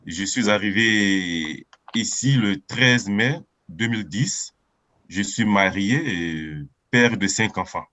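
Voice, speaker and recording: A man in his forties from Côte d'Ivoire giving an earnest, halting personal testimony as a baptism candidate. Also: fairly low pitch, bright, forceful tone, dry recording. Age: 40s.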